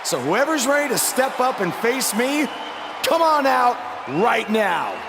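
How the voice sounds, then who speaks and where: A man's voice: very high-pitched, very animated, measured pace, gravelly, exaggerated voice. A man voicing a proud, determined young champion wrestler giving an earnest, declamatory promo in a video game. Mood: defiant. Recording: reverberant arena, noise behind.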